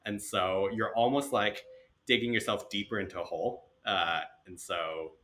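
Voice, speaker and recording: Man with a bright, sharp voice. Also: lowish voice, moderate pace. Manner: relaxed, uses "right?" and "like". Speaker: podcast guest, venture partner. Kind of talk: casual conversation.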